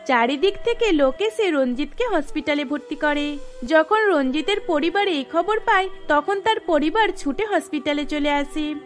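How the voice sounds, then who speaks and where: Very high-voiced woman narrating a cartoon. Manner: even storytelling delivery. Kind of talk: scripted storybook narration.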